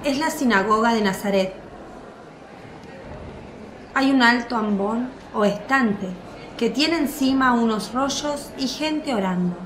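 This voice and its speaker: A woman narrating a scripted radio drama in a measured, formal storytelling manner, with an echoing sound. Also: fairly high pitch, background noise. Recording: roomy, echoing voice track. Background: faint music.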